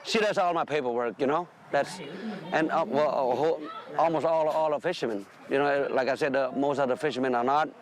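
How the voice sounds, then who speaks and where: A commercial fisherman, a man whose first language is Khmer, speaking in a halting, plainspoken way with many repeated words. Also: medium pitch, poor audio. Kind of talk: documentary interview.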